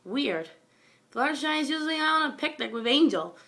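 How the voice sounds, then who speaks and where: A woman's voice: high-pitched, animated, brisk, gravelly, pushed and bright, pitched above the performer's own voice, heavily acted. A young woman voicing a proper, emphatic female alicorn pony in a story reading. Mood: puzzled.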